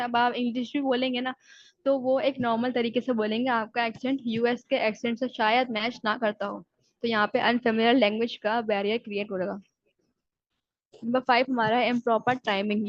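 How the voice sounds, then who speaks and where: Woman lecturer, slightly high, flat delivery, dry and dull-sounding recording. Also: no room sound. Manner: explains in Urdu-Hindi, slowly reads English definitions aloud, then paraphrases. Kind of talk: recorded exam-prep lecture.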